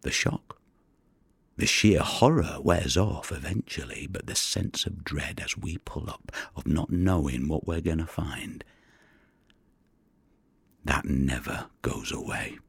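An extremely low male voice plays a haunted Mediterranean fisherman in an audio play. He is husky and breathy, telling his story slowly and broodingly. The sound is dry and close. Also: dry recording, heavily acted. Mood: grim, matter-of-fact. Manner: hushed, deliberate, brooding storytelling. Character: haunted, weary Mediterranean fisherman who recovers drowned bodies. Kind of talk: dramatic audio monologue.

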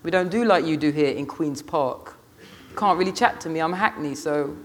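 A man's voice: on the high side, a little fast, a little hiss or hum, lightly acted. A man voicing a defiant, cocky young man from Hackney, slangy and argumentative, in an audiobook story. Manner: slangy, argumentative, self-justifying.

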